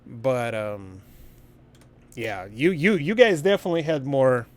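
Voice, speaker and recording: A man's voice: medium pitch, lively intonation, measured pace. A man livestreaming a game and chatting casually, in a blunt, joking and profane way. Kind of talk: casual livestream chat while gaming.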